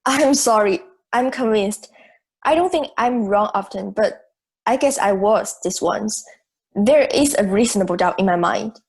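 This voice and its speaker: A young woman playing a logical, self-assured juror in an amateur audio drama, speaking in a measured, articulate way. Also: mid-range voice, lively intonation, vocal fry, breathy edge, exaggerated voice. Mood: conceding, calm. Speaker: student actor in school drama class.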